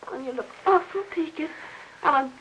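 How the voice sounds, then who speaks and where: High-pitched, fussy aunt. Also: female voice, moderate pace, a bit bright, low-quality recording. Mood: nagging concern. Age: older. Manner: rambling, nosy, nagging. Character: fussy, chatty, aggrieved older aunt. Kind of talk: 1950s film acting. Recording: hissy old film soundtrack.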